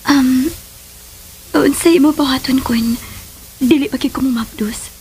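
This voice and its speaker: A woman's voice: high-pitched, a little slow, darkish tone, a little hiss or hum, rough recording. A woman acting a sweet, coy young wife and mother in a Philippine radio drama.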